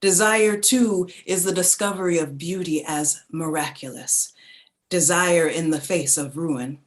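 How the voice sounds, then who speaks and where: An actress playing a reflective woman narrator who recalls her childhood in a contemplative, deliberate literary reading. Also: fairly low pitch, natural voice.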